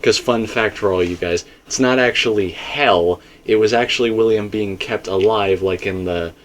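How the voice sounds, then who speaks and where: A man with a fairly low voice leads a casual, speculative discussion as host. He rambles in stop-and-start phrases, often circling back to correct or restate himself. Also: normal speed, slight background noise. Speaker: host of a game theory discussion.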